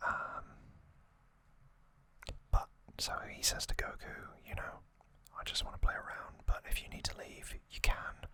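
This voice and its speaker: An ASMR host whispering in a breathy, unvoiced voice, giving an informal recap in short, halting phrases. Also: sharp tone.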